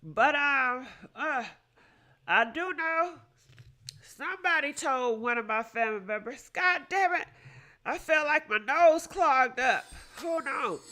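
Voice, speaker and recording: Woman, high voice, bright tone, stuffed-up nose, frank and profane, livestream host. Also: lively intonation, slow pace. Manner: frank, swears freely, muses aloud. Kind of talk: casual livestream talk.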